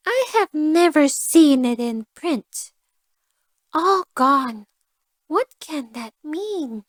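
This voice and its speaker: Woman voicing a high squeaky little storybook mouse. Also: big pitch swings, extremely slow, no room sound, clean studio sound, heavily acted. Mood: suspicious, puzzled. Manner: exclamatory, earnest, questioning. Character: small naive female mouse, trusting then distressed.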